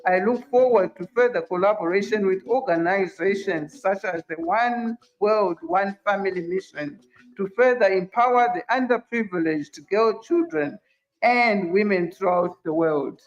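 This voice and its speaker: A man giving a measured, diplomatic formal address. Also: high-pitched, expressive. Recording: dull lo-fi sound.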